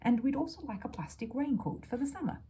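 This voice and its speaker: Woman as a storybook mother, brisk, polite, middle-class, slightly raised voice. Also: on the high side, expressive, mellow, slight background noise, voice pushed up, natural voice. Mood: kindly.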